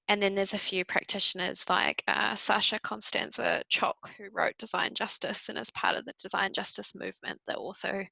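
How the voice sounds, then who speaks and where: New Zealand woman, panelist. Heavy vocal fry, bright, dry close sound, thoughtful and conversational. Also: medium pitch, no echo, poor audio. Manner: thoughtful, frequent ums, light laughs.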